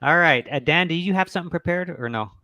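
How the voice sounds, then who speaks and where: A man's voice: fairly high pitch, fast talker, sharp tone. An American man hosting a casual hobby discussion, asking questions in a hesitant, rambling way. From United States. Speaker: host.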